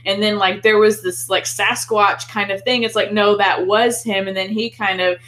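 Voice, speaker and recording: A woman's voice: medium pitch, fairly even intonation, moderate pace, fairly bright tone. A woman co-hosting a casual film discussion, talking in an enthusiastic, chatty, informal way. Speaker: podcast co-host.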